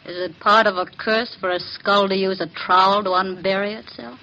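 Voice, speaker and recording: A dull, slightly noisy old radio horror recording. a wife with a middle-pitched voice, uneasy yet confrontational. She speaks in a direct, suspicious way. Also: steady tone, moderate pace, bright, forceful tone. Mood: accusing. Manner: pointed, direct. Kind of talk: old-time radio horror drama acting.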